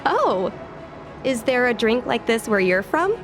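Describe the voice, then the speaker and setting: A woman's voice: on the high side, unhurried. A woman playing a spirited, earnest young fox-woman who dreams of piloting, in a video game. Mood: perky, friendly. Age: young adult. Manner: perky, expressive, earnest. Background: music.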